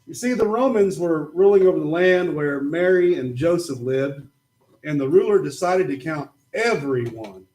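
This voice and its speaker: A man's voice: fairly high pitch, lively intonation, normal speed, rough recording. A man patiently telling a Bible story to children in a church puppet show. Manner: patient, gently explaining.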